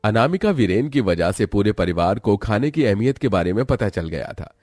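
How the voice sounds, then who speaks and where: A male narrator telling a scripted story at an ordinary mid pitch. His intonation is animated, rising and falling widely, and the recording is close and dry. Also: normal speed, no room sound.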